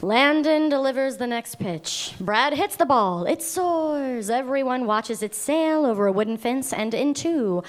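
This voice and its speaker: A woman reading script stage directions aloud at a live table read in a deliberate, measured way. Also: high-pitched, lively intonation.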